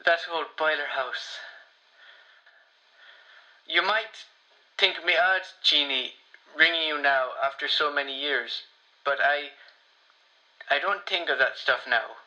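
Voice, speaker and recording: An actor playing a shy, wistful elderly Irish man in a radio play, halting and repeating himself as he rambles. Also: fairly high pitch, sharp tone, heavily acted. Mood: wistful, tender. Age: older. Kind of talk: radio play voicemail monologue. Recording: dull, lo-fi voicemail sound.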